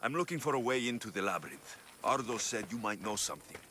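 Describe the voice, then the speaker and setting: Brief: blunt, commanding Greek mercenary, bright forceful voice, lively pitch, faint music behind. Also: male voice, quick pace, a little hiss or hum. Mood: stern. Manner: firm, interrogating, blunt. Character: hard-edged young male Greek mercenary, commanding. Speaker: player character, mercenary. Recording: game score underneath.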